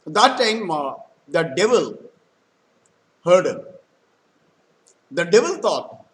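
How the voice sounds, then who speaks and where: A man telling a folktale in a halting, explanatory way, with frequent hesitant pauses. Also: high voice, sing-song, a bit bright, rough recording. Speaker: storyteller. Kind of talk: spoken folktale retelling with vocabulary explanations.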